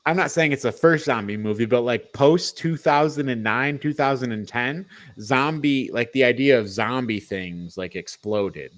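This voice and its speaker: A man's voice: medium pitch, moderate pace, few pauses, fairly bright tone. A man hosting a podcast, reviewing films in a casual, conversational and opinionated way. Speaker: podcast host. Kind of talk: casual film review chat.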